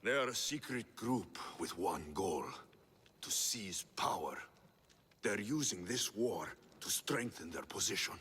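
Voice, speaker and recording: An actor playing an earnest, defiant young Spartan warrior in a video game, speaking in a blunt, intense way. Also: male voice, lowish voice, animated, a little slow, fairly bright tone, husky, long reverb tail, exaggerated voice. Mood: grave, urgent. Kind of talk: dramatic video game acting.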